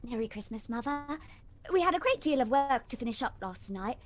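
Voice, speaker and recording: An eager, caring Victorian daughter acted by a woman in a radio-style drama. Her high voice swoops expressively with affection. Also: animated, brisk, lightly acted. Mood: cheerful, concerned. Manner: eager, earnest. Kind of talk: audio play acting, old radio drama style.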